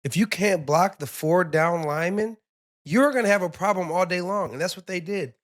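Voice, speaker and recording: A man with a raspy, hoarse voice, a former player co-hosting, laid-back and quick to laugh. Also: high voice. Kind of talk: casual sports chat.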